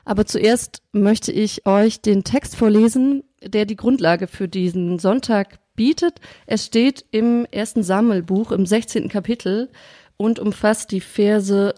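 A woman's voice: mid-range voice, warm tone, no echo. German woman podcast host, dry close sound. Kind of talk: podcast chat and scripture reading. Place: studio or quiet room, sitting across from the guest.

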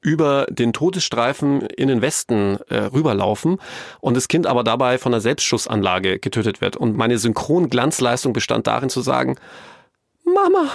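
A man's voice: mid-range voice, big pitch swings, normal speed, creaky, no room sound, clean studio sound. A man of forty, raised bilingual with English as his first language, chatting as a podcast guest with a joking, self-deprecating manner. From Germany, Bavaria. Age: 40s. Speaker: podcast guest, lawyer and actor. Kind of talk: casual interview chat.

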